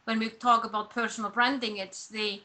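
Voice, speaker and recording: A woman from Iceland, whose first language is Icelandic, answering interview questions as a personal branding coach, pausing and restarting as she thinks. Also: on the high side, little pitch movement, bright, forceful tone. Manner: reflective, pauses and restarts mid-thought.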